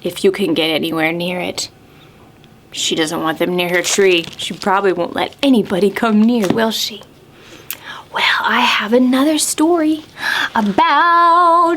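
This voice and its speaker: A woman with a fairly high voice tells stories to preschoolers at a very slow pace. She is expressive and clearly phrased, often turning to the children with simple questions. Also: a little hiss or hum. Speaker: children's librarian, storytime host. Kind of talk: expressive read-aloud storytelling for preschoolers.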